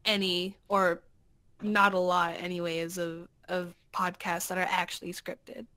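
Slow-talking, playful woman in casual chat. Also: lowish voice, flat delivery, sharp tone. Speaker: co-host, voice actor.

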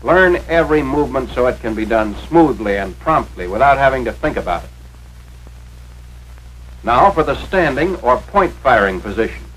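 A man's voice: medium pitch, expressive, moderate pace, a bit bright. An American man narrating a scripted 1940s instructional film in an authoritative, carefully enunciated way, as if teaching step by step. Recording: noisy 1940s film soundtrack, radio-like.

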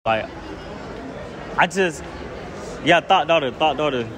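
A man's voice: fairly high pitch, expressive, takes it slowly, crowd noise. A young man answering a street interview at a mall, casual and joking.

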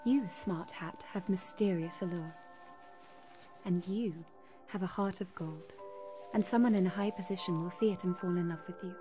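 A woman's voice: fairly low pitch, normal speed, natural voice. An actress playing a polite, lonely young shop girl in a radio drama. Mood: whimsical. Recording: music under the voice.

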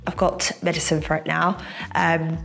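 A woman's voice: deep voice, normal speed, vocal fry, faint music behind. A young woman with a slight cold and a nasal edge, chatting casually to camera as a fitness coach, with lots of fillers. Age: young adult. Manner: chatty, many fillers, lively gestures. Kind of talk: casual sit-down chat to camera. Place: bright room indoors, seated.

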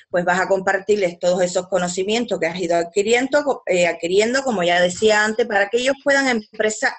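A woman's voice: medium pitch, fairly bright tone. A woman, presenting a business webinar over a video call. She reads slides and often hesitates, filling gaps with "pues" and restarting phrases.

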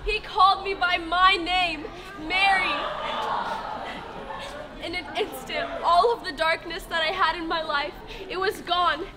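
A woman acting an impassioned female disciple of Jesus in a live church stage play, with dramatic pauses. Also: extremely high pitch, fairly even intonation, a loud crowd. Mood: earnest testifying. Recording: large hall with audience noise.

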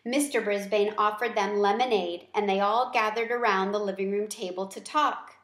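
A middle-aged woman reading a children's book aloud with deliberate, expressive storytelling phrasing. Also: mid-range voice. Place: small room at home. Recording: roomy home recording.

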